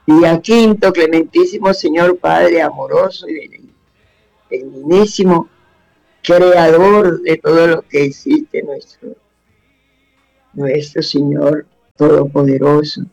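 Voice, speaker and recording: Guy doing a prayer radio show, raspy fry in his voice, keeps repeating blessings, quiet music under it. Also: a little high, steady tone, takes it slowly, poor audio. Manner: fervent, repeats blessings and phrases. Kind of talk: devotional radio talk and prayer.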